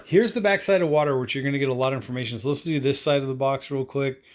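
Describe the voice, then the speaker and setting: A man's voice: mid-range voice, quick pace. A man doing a casual product unboxing review, talking in a rambling, offhand way full of fillers.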